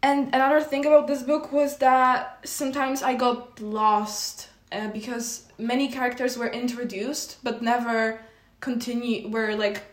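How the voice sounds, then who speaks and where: A woman's voice: fairly high pitch, little pitch movement, measured pace. Polish teenage girl, hesitant and echoey. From Poland. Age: teens. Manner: hesitant, many fillers and restarts. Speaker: podcast co-host. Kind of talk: casual chat. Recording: echoey room.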